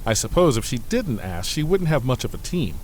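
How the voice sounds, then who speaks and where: Man playing an expressive, confiding starship aide. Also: mid-range voice, fairly quick, a little hiss or hum, close to an everyday voice, poor audio. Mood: wry complaining. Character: earnest male starship aide to a demanding ambassador. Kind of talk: science fiction audio drama, personal letter monologue.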